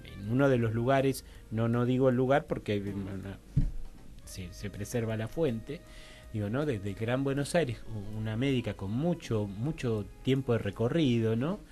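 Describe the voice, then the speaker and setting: Guest expert, male, Rioplatense Argentine accent, halting and thoughtful, medium pitch, faint music behind. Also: mellow. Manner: halting, reflective, full of checking tags. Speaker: guest professional working in health and diversity. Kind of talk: radio panel discussion.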